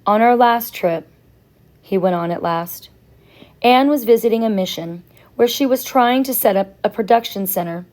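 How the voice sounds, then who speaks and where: A woman voicing a quiet, reserved, sorrowful older male professor in a children's novel, hesitant and reflective. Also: fairly high pitch, lightly acted.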